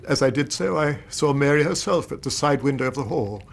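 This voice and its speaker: A man's voice: medium pitch, lively intonation, creaky. An actor playing an anxious, upright older British banker and weary father, speaking formally in a radio drama. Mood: troubled recounting. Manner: formal, measured, distressed. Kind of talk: BBC radio drama acting.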